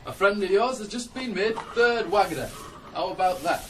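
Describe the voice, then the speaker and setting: An actor playing a proud, gruff farm wagoner with a blunt, needling manner in a 1980s radio drama. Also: male voice, sits high, animated, moderate pace, background noise. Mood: gloating.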